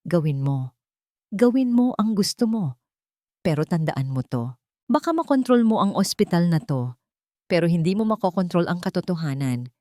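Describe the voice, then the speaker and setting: A woman's voice: fairly low pitch, sing-song, normal speed, long pauses, warm tone, dry recording. Woman acting fearless young male doctor, defiant, firm, direct, audio story drama, dry recording.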